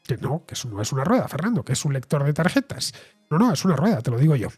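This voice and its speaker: A middle-aged Castilian Spanish man with a slightly husky, breathy voice explains things at a measured pace. He hesitates often as he talks through a solo monologue, and faint music plays behind him. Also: on the high side, big pitch swings, a little mellow. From Spain. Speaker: host and writer. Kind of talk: solo talk-show monologue.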